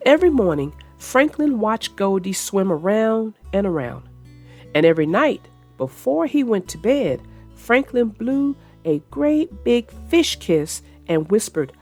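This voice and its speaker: A woman's voice: medium pitch, very animated, a little slow, darkish tone, faint music behind. A woman hosting a children's read-aloud, narrating a picture book and chatting about it in simple, explanatory terms. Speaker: children's story narrator and host.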